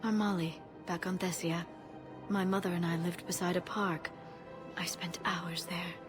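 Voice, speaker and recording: An actress voices a female alien scholar for a video game. Her voice is on the low side for a woman, soft-spoken and tender. She speaks gently and wistfully, a little shy, with music playing behind her. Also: level tone, a little slow, lightly acted. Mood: nostalgic.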